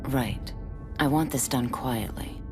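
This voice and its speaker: A woman's voice: very deep voice, takes it slowly, music in the background. A woman playing a cool, confident military scout with a composed, assertive manner.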